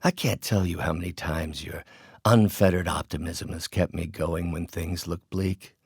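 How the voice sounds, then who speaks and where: A man's voice: low-pitched, fairly even intonation, normal speed, a little mellow, husky, no room sound, clean studio sound. A male actor voicing a brilliant, bitter middle-aged scientist turned vengeful villain in a video game, with articulate, theatrical delivery. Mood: gentle, weary. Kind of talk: dramatic video game acting, isolated voice lines.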